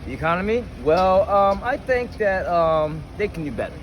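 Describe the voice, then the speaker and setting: A man's voice: high voice, expressive, normal speed. A man chatting casually as an interviewee, hesitant and often echoing a question before he answers. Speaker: interviewee, music fan at a show. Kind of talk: casual chat. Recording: noisy outdoor urban street with traffic.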